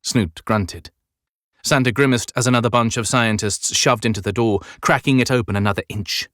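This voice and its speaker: A man's voice: mid-range voice, normal speed, a bit bright, no echo, clean studio sound. A man reading dramatic scripted narration as an audiobook narrator. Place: dry recording studio.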